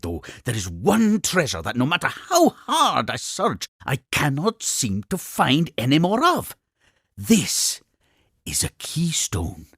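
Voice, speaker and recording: Wildly animated Scottish cartoon inventor. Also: male voice, fairly high pitch, no room sound, clean studio sound, exaggerated voice. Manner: grandiose, effusive, old-fashioned phrasing. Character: exuberant, eccentric Scottish explorer-inventor creature, proud and cunning. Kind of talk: theatrical cartoon game character dialogue.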